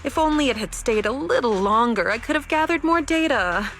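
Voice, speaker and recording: A woman's voice: a little high, lively intonation, brisk, a bit bright. An actress playing a playful, rebellious young woman researcher in a video game, teasing, breezy and sure of herself. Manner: teasing, breezy, self-assured. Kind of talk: scripted video game story dialogue. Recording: music behind the voice.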